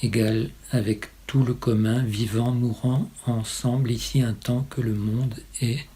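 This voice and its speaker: A man reciting poetry in a solemn style, with measured, incantatory phrasing and short pauses. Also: mid-range voice, flat delivery, warm tone. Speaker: poet reading own poem.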